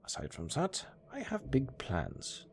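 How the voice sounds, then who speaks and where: A man with a German accent playing a cold, condescending necromancer master in an audio roleplay, speaking in a deadpan, unhurried, gravelly way. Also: mid-range voice, a little hiss or hum. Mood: commanding, coolly menacing. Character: cold, dryly matter-of-fact male necromancer master.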